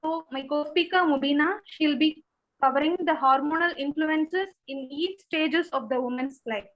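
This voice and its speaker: A woman with an Indian English accent giving a steady, explanatory webinar lecture as a physiotherapist. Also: high voice, moderate pace, muffled recording. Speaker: consultant physiotherapist. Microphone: video call.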